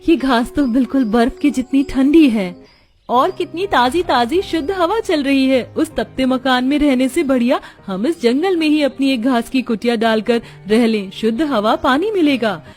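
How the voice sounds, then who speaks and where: A woman's voice: high-pitched, moderate pace, darkish tone, soft background music, natural voice. A woman playing poor, dutiful and resourceful village housewives in a cartoon story. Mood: cheerful, resourceful. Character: poor village women, dutiful resourceful daughters-in-law and scolding mother-in-law.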